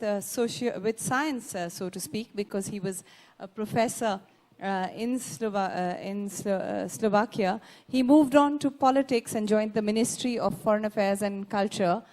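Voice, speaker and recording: A woman's voice: medium pitch, darkish tone. A woman acting as master of ceremonies at a conference, introducing the next speaker politely with small hesitations. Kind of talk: conference moderation. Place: conference stage.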